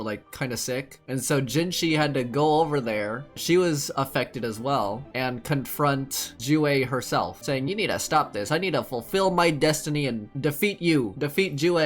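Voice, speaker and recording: Casual young guy streaming a game. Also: mid-range voice. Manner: slangy, rambling, thinking aloud. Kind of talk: casual live playthrough commentary. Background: game music.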